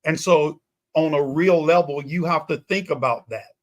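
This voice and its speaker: A man's voice: a little high, moderate pace. A man in a conversational political talk show discussion, speaking emphatically and repeating phrases for weight.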